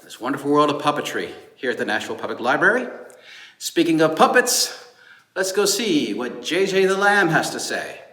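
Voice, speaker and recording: A man hosting a storytime, presenting to children and families in an expressive, emphatic, enthusiastic way. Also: on the high side, normal speed. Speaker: storytime host. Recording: roomy echo, clear background noise.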